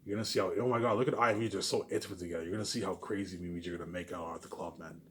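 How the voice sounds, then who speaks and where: A man's voice: low-pitched, steady tone, racing, fairly bright tone, a little hiss or hum. A man in a rambling informal monologue to viewers, boastful and circling back over the same phrases. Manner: boastful, self-aggrandizing.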